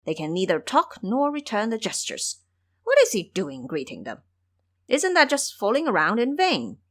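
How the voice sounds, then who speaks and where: A woman's voice: fairly high pitch, wildly swooping pitch, normal speed. A woman voicing a sly male monkey king in an audio drama, amused and mocking. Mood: mocking amusement. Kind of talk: audio drama character acting.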